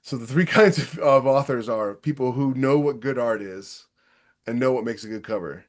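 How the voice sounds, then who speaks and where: A man's voice: mid-range voice, moderate pace. A man hosting a casual podcast chat, giving opinionated, emphatic advice as an author.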